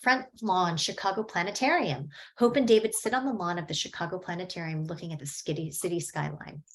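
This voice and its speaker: Casting: a woman with a lowish voice reading stage directions steadily. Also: brisk. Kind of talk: table read narration.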